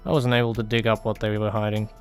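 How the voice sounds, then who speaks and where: A man voicing a cynical male information dealer, calm and cutting, in audiobook dialogue. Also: on the low side, fast, clear, resonant tone, soft background music, natural voice.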